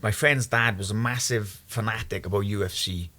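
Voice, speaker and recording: A man's voice: on the low side, steady tone, moderate pace, pushed and bright. A young Welsh man, a guest fighter, telling rowdy stories in casual chat, swearing freely and laughing at his own tales. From Wales.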